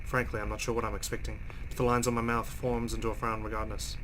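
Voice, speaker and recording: A man's voice: on the low side, little pitch movement, fast talker, a bit bright, close to an everyday voice. A man reading aloud the part of a shaken, exhausted young woman driver in a horror visual novel, narrating dramatically. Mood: uneasy. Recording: noisy home recording.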